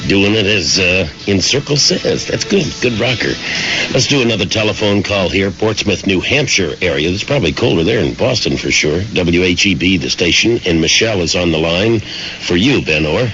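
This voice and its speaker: A man hosting a live call-in radio interview, joking easily with callers and announcing in a slow, deliberate way. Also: sits low, lively intonation, music in the background. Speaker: radio host. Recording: dull lo-fi radio broadcast.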